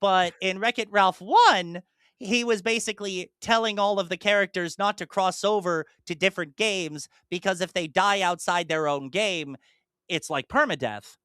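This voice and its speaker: A podcast host chatting casually in an animated, rambling way, slipping into imagined dialogue. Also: mid-range voice, moderate pace, smooth, no room sound, clean studio sound. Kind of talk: casual chat, enthusiastic monologue about films.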